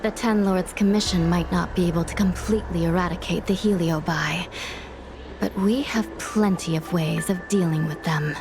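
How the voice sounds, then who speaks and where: A woman playing a serious, level-headed investigator in a video game, speaking in a formal, measured, resolute way. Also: fairly low pitch, fairly even intonation, background noise, close to an everyday voice.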